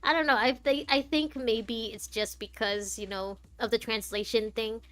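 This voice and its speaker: A woman's voice: on the high side, steady tone, normal speed, a bit bright. A woman giving casual live playthrough commentary, thinking aloud in a wry, opinionated way. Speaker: game streamer. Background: game dialogue and music.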